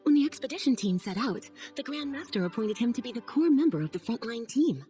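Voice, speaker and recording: A woman's voice: on the high side, very animated, fairly quick, warm tone. A woman voicing a composed, affectionately teasing lady knight in a video game, with a coy and poised manner. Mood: composed, explaining. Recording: faint music behind.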